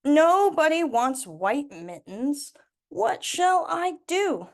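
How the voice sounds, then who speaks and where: A woman voicing a kindly, fretful old knitter from a storybook, with drawn-out exclamations as if talking to herself. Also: high-pitched, big pitch swings, creaky, pitched above the performer's own voice, exaggerated voice. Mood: worried.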